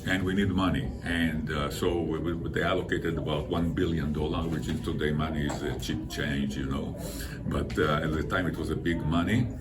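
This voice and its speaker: An older man with an Israeli accent giving an informal presentation as a guest speaker, explaining things in a halting way. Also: extremely low pitch, steady tone. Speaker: guest speaker, environmental consultant. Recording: distant mic with loud background noise.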